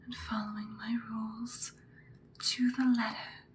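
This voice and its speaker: A woman whispering as an ancient female vampire slayer, seductive and stern, in an ASMR roleplay with slow, deliberate, breathy delivery. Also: a little high, little pitch movement, a little hiss or hum, close to an everyday voice. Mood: wistful, stern.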